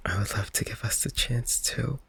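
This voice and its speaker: A man playing a shy, affectionate vampire boyfriend in an intimate ASMR roleplay, speaking softly and haltingly with rain behind him. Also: mid-range voice, exaggerated voice. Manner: soft, hesitant, halting, breathy sighs and chuckles. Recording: faint noise, rain ambience behind. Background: rain ambience.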